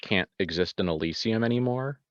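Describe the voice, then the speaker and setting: A man's voice: fairly low pitch, a little fast, dry recording, muffled recording. A man acting as game master, casually guiding players through a tabletop roleplaying game.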